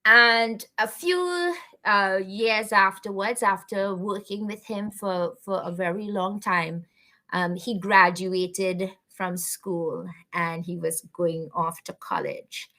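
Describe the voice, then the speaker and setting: A woman's voice: medium pitch, takes it slowly, bright, forceful tone. A woman guest on a podcast, talking earnestly and reflectively, with frequent fillers and restarts. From Canada. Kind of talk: conversational podcast interview.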